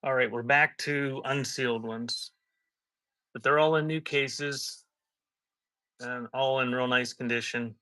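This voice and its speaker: A man's voice: medium pitch, fairly even intonation, unhurried, pauses often, bright, forceful tone, no room sound. A man hosting a live auction as the seller, talking in casual, rambling sales patter mixed with chatty stories. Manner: informal, rambling, conversational.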